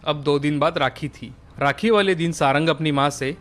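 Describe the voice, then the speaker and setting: A man's voice: on the high side, normal speed, a bit bright, faint music behind. A man narrating a scripted children's cartoon story.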